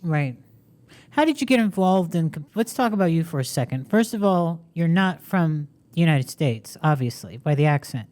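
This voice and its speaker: A woman interviewer in a casual chat, teasing and quick with her follow-up questions. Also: low-pitched, animated, darkish tone. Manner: chatty, teasing, asks quick follow-ups.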